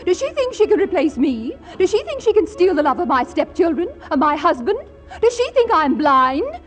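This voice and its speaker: A woman's voice: very high-pitched, sing-song, normal speed. A woman acting an indignant, jealous wife and stepmother in a 1940s radio comedy. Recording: old radio broadcast with music.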